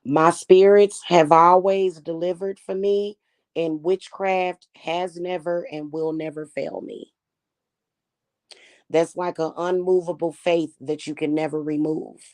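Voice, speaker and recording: A woman with a slightly hoarse voice, talking casually and defiantly to her viewers about her spiritual practice. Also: lowish voice, steady tone, slow, a little mellow. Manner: chatty, defiant, addresses viewers as y'all. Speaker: spiritual reader and channel host.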